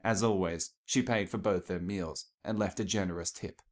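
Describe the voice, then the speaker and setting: A man's voice: deep voice, normal speed. A man reading scripted fiction aloud as a narrator, in a storytelling style.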